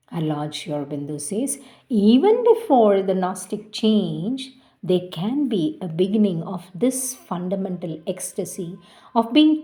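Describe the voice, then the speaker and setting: A woman reads a spiritual text aloud at a very slow, unhurried pace. Her voice is mellow and fairly low. She is measured and reverent, and her phrases fade softly at their ends. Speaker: devotional reader. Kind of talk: slow devotional reading.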